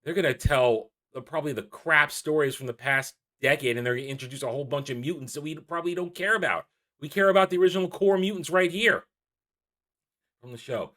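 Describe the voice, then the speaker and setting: A man's voice: a little high, brisk, fairly bright tone. A man giving opinionated commentary as a vlog host, exasperated and emphatic, repeating phrases and drawing out stressed words. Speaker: YouTube channel host.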